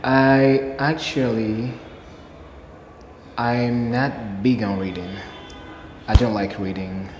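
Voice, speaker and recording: A man's voice: mid-range voice, steady tone, slow, pauses often, darkish tone. A man from Burundi whose first language is Kirundi, answering interview questions in an earnest way and repeating key phrases for emphasis. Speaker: interview guest, English learner. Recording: echoey room with long reverb, faint noise.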